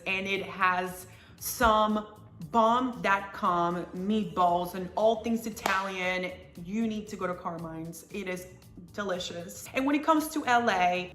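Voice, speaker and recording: A woman's voice: medium pitch, a bit bright. Upbeat Dominican-accented woman, roomy sound. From Dominican Republic. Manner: upbeat, enthusiastic recommendations. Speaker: lifestyle video creator. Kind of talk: casual food vlog.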